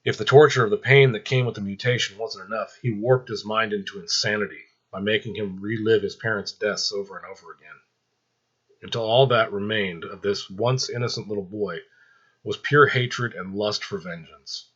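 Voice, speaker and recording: A man's voice: fairly low pitch, normal speed, fairly bright tone, husky, muffled recording. A man reading a story aloud as a storyteller, in dramatic scripted narration.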